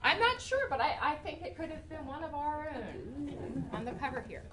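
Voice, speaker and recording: A woman's voice: high voice, very animated, moderate pace. A woman hosting an event, introducing the guest speaker in a casual, jokey way with a few hesitations. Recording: distant mic with a crowd of listeners.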